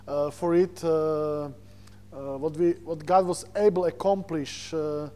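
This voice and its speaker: Male preacher, high-pitched voice, Slovak accent, non-native English, informal sermon storytelling, halting word searches. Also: warm tone. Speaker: visiting preacher. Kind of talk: informal sermon with personal stories.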